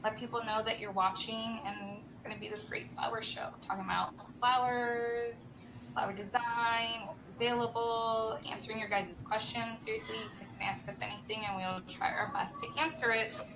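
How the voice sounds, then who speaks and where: A woman's voice: fairly high pitch, fairly even intonation, moderate pace, background noise. A woman hosting a live-stream chat show while sick, her voice strained, chatty and upbeat with many ums as she greets viewers by name. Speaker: show host, marketing director. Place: company studio with a control room.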